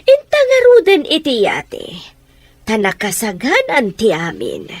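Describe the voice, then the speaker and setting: A woman's voice: on the high side, wildly swooping pitch, measured pace, no room sound, exaggerated voice. A woman playing a wealthy, bossy, scheming mother in a radio drama, speaking theatrically and emphatically. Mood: scheming, assured.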